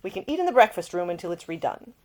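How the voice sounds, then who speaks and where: A woman's voice: fairly low pitch, sing-song, quick pace, a little mellow. A woman voicing a jaded young male earl in an audiobook, curt, dry and guarded in his answers. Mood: dry, defensive.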